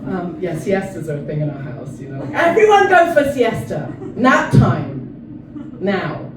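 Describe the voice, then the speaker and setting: A woman's voice: on the low side, huge pitch swings, measured pace, recorded from a distance. Self-mocking woman comedian in an echoey club. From South Africa. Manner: chatty, self-mocking, laughs at her own jokes. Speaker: stand-up comedian. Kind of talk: stand-up comedy set. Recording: echoey room, mic from afar, noisy.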